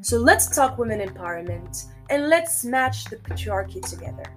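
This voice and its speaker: A woman's voice: fairly high pitch, lively intonation, moderate pace, over music. A woman from Morocco hosting a solo podcast, giving upbeat, motivational career advice in short, emphatic phrases. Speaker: podcast host and career coach.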